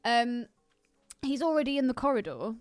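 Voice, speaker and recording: A woman's voice: a little high, sing-song, normal speed, dry recording, soft background music. A woman co-hosting a podcast, chatting casually about a film in a blunt, critical way. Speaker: podcast co-host. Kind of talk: casual film commentary chat.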